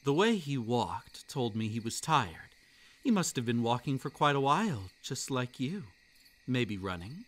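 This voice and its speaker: A man narrating a scripted roleplay ASMR story in a soft, unhurried storytelling voice. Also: medium pitch, big pitch swings.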